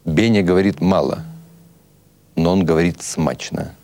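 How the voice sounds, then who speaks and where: A man voicing a one-eyed gang boss who talks in a measured, blunt way. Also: low-pitched, a little mellow, dry recording. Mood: stern. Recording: music behind the voice.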